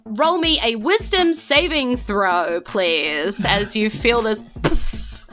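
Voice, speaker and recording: A woman's voice: fairly high pitch, expressive, slow pace, music in the background. A woman with a New Zealand accent, casually narrating and refereeing a tabletop roleplaying game as game master.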